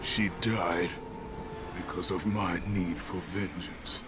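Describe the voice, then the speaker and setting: A man playing a grim, bitter, battle-hardened warrior in a game cutscene, speaking tersely and gravely over music. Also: low voice, fairly even intonation, takes it slowly. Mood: weary remorse. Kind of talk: dramatic video game cutscene acting. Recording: loud music behind the voice.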